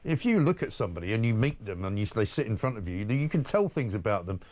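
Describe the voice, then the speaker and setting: A man's voice: on the low side, big pitch swings, fast talker, a little mellow. A British man hosting a talk show, interviewing in a chatty, opinionated way with frequent tag questions. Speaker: radio and TV talk show host. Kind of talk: conversational broadcast interviewing.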